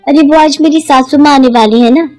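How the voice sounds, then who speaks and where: A woman puts on a young daughter-in-law for a cartoon family tale, dutiful and eager to please. Her voice is lifted high and sits over background music. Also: fairly quick, dark tone, lightly acted, rough recording. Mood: chatty. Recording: music behind the voice.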